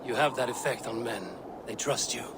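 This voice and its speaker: An actor playing a steady, loyal Viking warrior and trusted friend in a video game, measured and earnest. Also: male voice, mid-range voice, noisy background, close to an everyday voice. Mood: grave, earnest. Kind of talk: dramatic video game acting.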